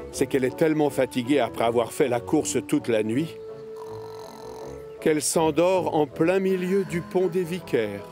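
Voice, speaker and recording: A man narrating a scripted children's cartoon in an expressive storytelling way. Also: medium pitch.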